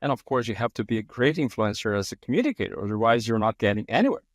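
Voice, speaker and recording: A man's voice: on the low side, lively intonation, quick pace, no echo, clean studio sound. Brazilian-accented man hosting, effusive. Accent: Brazilian Portuguese. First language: Portuguese. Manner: effusive, frequent restarts and repeated words. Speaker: podcast host. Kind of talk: conversational interview.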